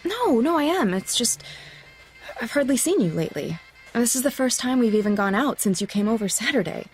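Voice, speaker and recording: A woman acting a headstrong, restless blind high school girl in an audio drama, talking casually and naturally. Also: expressive, brisk, faint music behind, lightly acted. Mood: wounded, hopeful. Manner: casual, conversational.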